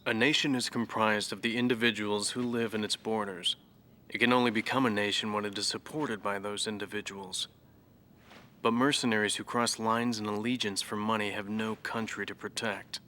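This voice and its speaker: An actor playing a cold, proud male military pilot, measured and disdainful in a dramatic game monologue. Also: on the low side, fairly even intonation, bright, forceful tone. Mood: cold, bitter.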